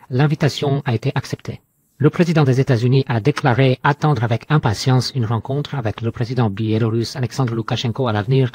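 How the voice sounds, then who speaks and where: A man delivers scripted news narration in a formal, measured manner. His voice sits at an average male pitch with a husky, less polished texture. The sound is dry, with no room around it. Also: a little mellow, no room sound. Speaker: news reader.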